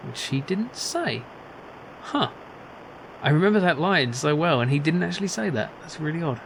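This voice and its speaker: A man's voice: fairly high pitch, very animated, moderate pace, fairly bright tone, a little hiss or hum, poor audio. A man giving casual let's play commentary, thinking aloud in a wry, musing way. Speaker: video game streamer.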